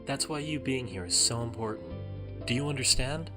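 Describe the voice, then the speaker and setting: A man playing a gentle, earnest father in an audio drama, speaking in a soft, coaxing way. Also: medium pitch, animated, normal speed, over music, natural voice. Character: gentle, earnest father pleading with his daughter.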